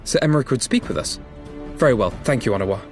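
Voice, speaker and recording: A man voicing an earnest, noble young gentleman in a game cutscene, speaking formally with archaic phrasing. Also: medium pitch, lively intonation, quick pace, soft background music, lightly acted. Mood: grateful, composed. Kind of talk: video game cutscene acting.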